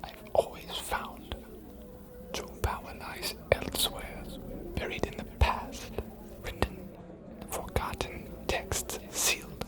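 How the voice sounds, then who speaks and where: A man playing an aloof, bookish, centuries-old vampire scholar in an ASMR roleplay, whispering in a deliberate, formal, slightly hesitant way. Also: close to an everyday voice.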